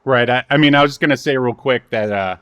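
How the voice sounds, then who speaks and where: A man co-hosting a podcast, chatting casually about television in halting, hesitant phrases. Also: medium pitch, fairly bright tone, clean tone. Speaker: podcast co-host. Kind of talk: casual chat about a TV show.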